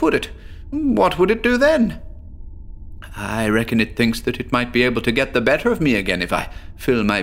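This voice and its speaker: A man's voice: on the high side, creaky, full character voice. A man playing a troubled psychiatric patient in a horror audio drama, agitated and stammering as he confesses his tale. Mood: insistent, uneasy. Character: troubled one-armed male patient haunted by an inner voice.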